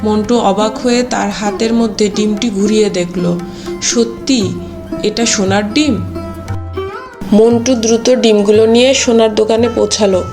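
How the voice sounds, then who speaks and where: A woman's voice: medium pitch, fairly even intonation, normal speed, music in the background. A woman narrator reading a scripted story for a cartoon.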